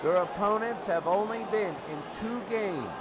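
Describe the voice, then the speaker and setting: A man giving football pregame commentary, reading out team statistics in a halting, phrase-by-phrase delivery. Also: high-pitched, muffled recording. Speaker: sports commentator. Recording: very noisy amateur recording. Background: loud background noise.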